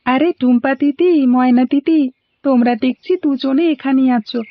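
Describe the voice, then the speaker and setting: A woman's voice: high-pitched, normal speed, no echo. A woman voicing a cheerful, helpful little schoolboy in a cartoon, with a Bangladeshi accent. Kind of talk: animated cartoon dialogue. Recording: dull lo-fi audio.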